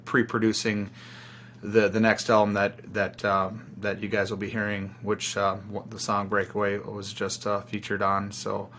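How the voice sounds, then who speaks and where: A man's voice: on the low side, completely flat delivery, moderate pace, a bit bright, a little hiss or hum. A man chatting casually as an interviewed musician, rambling informally and piling up phrases. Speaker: band singer-songwriter being interviewed.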